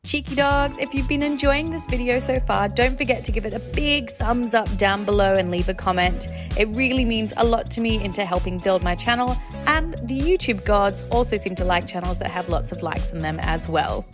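A woman's voice: a little high, moderate pace, over music. An Australian woman hosting a scripted fan video essay, speaking conversationally and straight to her viewers. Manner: conversational, addresses viewers directly. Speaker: YouTube host, cartoon fan.

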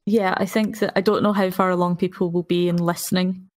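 A woman's voice: lowish voice, flat delivery, fairly quick. A woman chatting casually as a panellist on a podcast. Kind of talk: casual chat.

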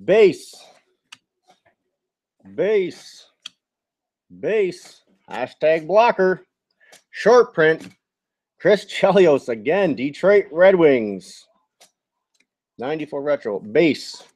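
High-pitched man calling out cards slowly. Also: lively intonation, darkish tone. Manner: clipped, listing calls in short bursts. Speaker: host of a sports card box break. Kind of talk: live card-opening commentary, terse announcing. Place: card shop with onlookers seated nearby.

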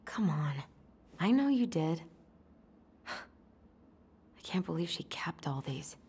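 Thoughtful young woman, lowish pitch, natural game dialogue, a bit hesitant. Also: very animated, slow, darkish tone, husky, full character voice. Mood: wistful. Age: young adult. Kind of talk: naturalistic video game acting.

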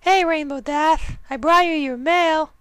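A man's voice: extremely high pitch, steady tone, unhurried, fairly bright tone, no echo, pitched above the performer's own voice. A man voicing a cheerful, innocent, scatterbrained mare pony in a bubbly, childlike, eager way for a story reading. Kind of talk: fan fiction character reading.

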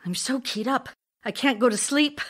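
A woman's voice: a little high, normal speed, fairly bright tone, husky. A woman playing a vivacious, self-dramatizing actress wife in an audio drama, effusive and teasing, devoted yet restless. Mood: keyed up, affectionate. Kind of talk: audio drama acting, reading love letters.

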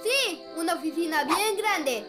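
A performer with a Latin American Spanish accent voicing an excitable, boastful young imp boy in a cartoon fan dub. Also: very high-pitched, a little slow. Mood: excited, boastful. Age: child character. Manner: eager, exuberant. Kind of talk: animated fan-dub acting. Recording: music under the voice.

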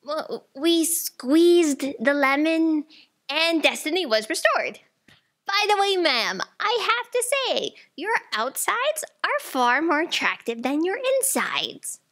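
A woman's voice: sits high, very animated, takes it slowly, a bit bright, full character voice. A young woman playing an earnest, insistent junior underling in a staged audio drama. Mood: explaining. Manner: theatrical, insistent. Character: earnest, urgent, frank female junior underling. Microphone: stand microphone on a theatre stage.

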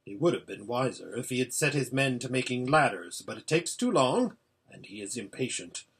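A man's voice: mid-range voice, big pitch swings, normal speed, fairly bright tone, husky, heavily acted. A man voicing an impatient old hermit seer in a storybook, giving an anxious, exclamatory running report. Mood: watchful, grave. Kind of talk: storybook character voice.

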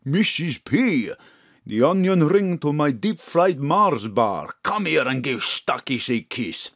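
A man's voice: a little high, sing-song, takes it slowly, fairly bright tone, no echo, studio quality, heavily acted. Wildly swooping, clownish man. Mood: smitten. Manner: broken English, frequent tag words. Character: excitable, smitten, clownish Greek man with broken English. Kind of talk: comic audio drama acting.

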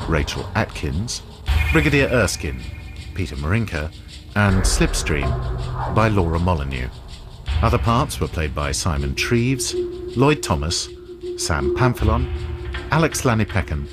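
A man's voice: sits low, big pitch swings, measured pace, long pauses. A man reading scripted cast credits as a radio announcer. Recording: music under the announcement.

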